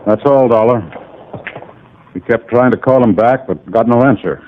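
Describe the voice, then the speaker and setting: An actor playing a precise, self-assured inventor in a 1950s radio drama, measured and a little formal. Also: male voice, mid-range voice, lightly acted. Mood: explaining. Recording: lo-fi old radio broadcast.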